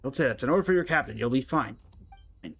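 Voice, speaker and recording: Earnest, grave game soldier, read aloud by a man, measured delivery, mid pitch. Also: lively intonation, vocal fry, a bit bright, slightly rough, heavily acted. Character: earnest soldiers speaking gravely over a wounded captain. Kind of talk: reading game story dialogue aloud.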